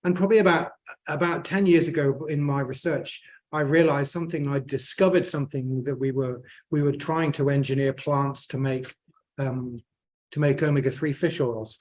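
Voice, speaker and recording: A man's voice: mid-range voice, fairly even intonation, normal speed, a little mellow. A man, speaking at a panel as a plant scientist, hesitant and full of fillers and restarts. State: croaky voice. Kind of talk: unscripted panel remarks.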